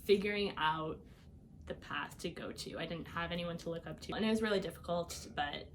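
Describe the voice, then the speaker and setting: A young woman shares her life story as a podcast guest, talking casually with many fillers and light laughs. Her voice sits a little low, and a faint ambient hum runs underneath. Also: fairly quick. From Iowa, United States. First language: Albanian. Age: young adult. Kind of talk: personal storytelling.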